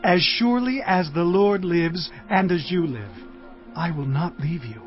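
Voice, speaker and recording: Steadfast prophet, audio scripture drama, earnest declamation, dull sound, music underneath. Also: male voice, high voice, lively intonation, measured pace. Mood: solemn, steadfast. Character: devoted younger male prophet, solemn and steadfast.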